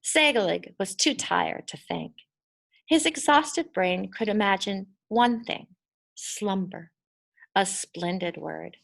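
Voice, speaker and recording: Female storybook reader, middle pitch, unhurried and deliberate, expressive delivery with dramatic word emphasis. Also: gravelly.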